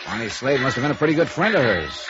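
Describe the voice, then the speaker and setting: An actor playing a wry, probing middle-aged American insurance investigator in 1950s radio noir, talking and narrating in a conversational way. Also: male voice, mid-range voice, big pitch swings, fast, lightly acted. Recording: dull vintage radio recording, music behind.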